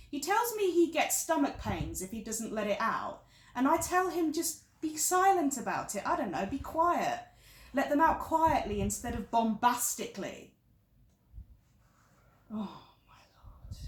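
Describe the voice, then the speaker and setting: A woman's voice: a little high, roomy sound. A woman performing a stage monologue as an exasperated wife in her thirties, confiding in a friend with dramatic, emphatic repetition. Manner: dramatic, emphatic, repeats phrases for effect.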